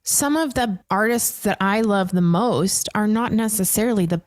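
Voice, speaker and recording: A woman's voice: mid-range voice, moderate pace, dry recording. A woman chatting casually as a podcast host, agreeable and emphatic in an enthusiastic, conversational way.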